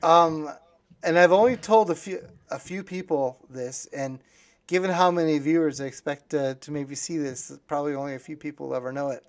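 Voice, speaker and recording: A man hosting a casual conversational interview, musing aloud and speaking haltingly in fragments. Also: fairly high pitch. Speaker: podcast host.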